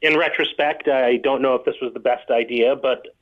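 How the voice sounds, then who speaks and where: A man's voice: mid-range voice, steady tone, normal speed, no room sound. A man talking hesitantly and self-consciously as a phone-in guest on a casual radio show. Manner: awkward, hesitant, self-deprecating. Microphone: phone call. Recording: muffled phone-line sound.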